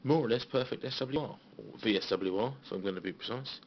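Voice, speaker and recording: A British man giving a casual, halting, understated tour of his hobby equipment, as an enthusiast showing viewers around. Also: medium pitch. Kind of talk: casual informal show-and-tell. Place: small radio room at home. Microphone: handheld phone. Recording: dull phone recording with faint noise.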